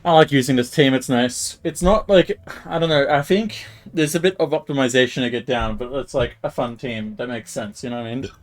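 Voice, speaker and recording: A man casually commenting on a live game stream, rambling as he thinks out loud. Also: mid-range voice, a little fast.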